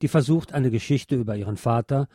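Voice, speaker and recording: A man's voice: medium pitch, a little fast, mellow, dry recording, clean studio sound. A man presenting a film review as a show host, partly scripted.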